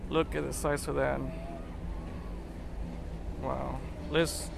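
A man chatting excitedly as he narrates his drone flight outdoors, marveling at what he sees. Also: medium pitch, drawn-out words, gravelly, background noise. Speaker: drone videographer. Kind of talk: casual live narration while flying a drone.

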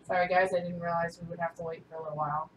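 A woman's voice: fairly low pitch, little pitch movement, rapid-fire, echoey room, recorded from a distance, a little hiss or hum, poor audio. A woman playing a video game with a friend, chatting casually, laughing often and getting flustered. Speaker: gaming video host. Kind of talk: casual gameplay chat.

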